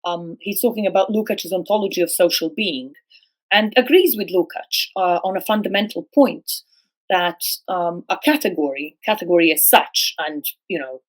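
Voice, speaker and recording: A middle-aged woman who grew up speaking Serbo-Croatian, a guest philosopher on a podcast, speaking slowly and hesitantly with many fillers and restarts. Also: fairly low pitch, low-quality recording. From former Yugoslavia. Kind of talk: academic podcast discussion.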